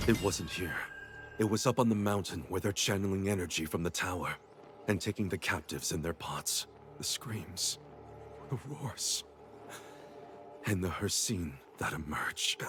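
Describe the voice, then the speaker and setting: Haunted male prisoner-engineer. Deep, anguished, halting and dramatic, burdened by guilt, game dialogue.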